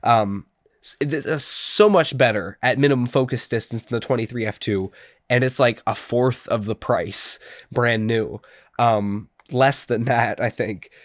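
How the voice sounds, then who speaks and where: A man's voice: mid-range voice, a little slow, no echo, clean studio sound. Stuffy-nosed young man rambling about gear. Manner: rambling, lots of ums and restarts. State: stuffy nose from a cold. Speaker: camera gear reviewer. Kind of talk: casual spoken review.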